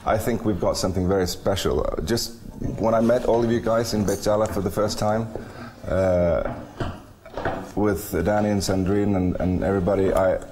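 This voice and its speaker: A man welcoming participants and explaining the plans, pausing with small hesitations. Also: on the low side, fairly even intonation, noisy background. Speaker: project organizer. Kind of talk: welcome speech.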